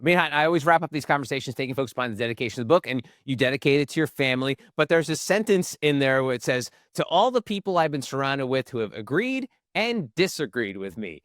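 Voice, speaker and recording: A man's voice: medium pitch, lively intonation, quick pace, hardly any pauses, a bit bright, no echo, clean studio sound. A man hosting a podcast interview, asking long questions that he rephrases as he goes. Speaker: podcast host.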